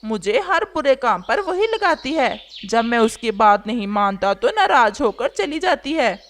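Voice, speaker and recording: Woman as a whiny, lively male cartoon crow. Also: on the high side, unhurried, slight background noise. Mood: pleading, scheming. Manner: pleading, complaining. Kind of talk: children's cartoon voice acting.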